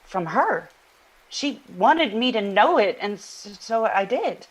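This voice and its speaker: An actress playing a troubled woman who recounts an eerie dream, halting and searching for her words in a naturalistic audio drama style. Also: mid-range voice, very animated, husky, heavily acted, rough recording. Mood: halting, puzzled.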